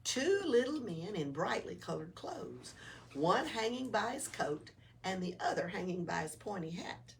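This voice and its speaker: A woman's voice: medium pitch, big pitch swings, a little slow, vocal fry. A woman reading a children's storybook aloud as a library storyteller. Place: library room. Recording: distant microphone, noisy room.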